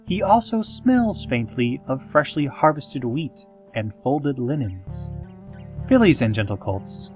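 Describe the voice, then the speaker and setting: A man playing a calm, formal small-town radio host, narrating a parody horror-comedy show in measured, deadpan phrases with drawn-out dramatic moments. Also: medium pitch, natural voice. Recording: music playing behind the voice. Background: soft guitar music.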